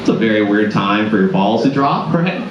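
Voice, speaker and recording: A man from Boston with a deep voice doing a conversational stand-up comedy set, tossing quick asides to a live crowd. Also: animated, noisy background. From Boston area, United States. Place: comedy club stage before a live audience. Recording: roomy club sound, dull and lo-fi.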